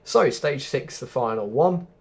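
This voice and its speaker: A man's voice: medium pitch, animated, measured pace, creaky, a little mellow, breathy edge. A man giving a casual, chatty gameplay walkthrough, explaining things directly to viewers as a video host.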